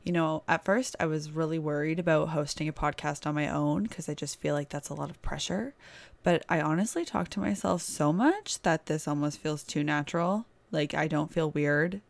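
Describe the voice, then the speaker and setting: A woman's voice: sits low, moderate pace, vocal fry. A young woman hosting her own podcast from a house, chatting casually and rambling with lots of "like", sounding stuffy-nosed with a sore throat. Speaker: podcast host. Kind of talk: casual solo podcast chat. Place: boyfriend's house, indoors.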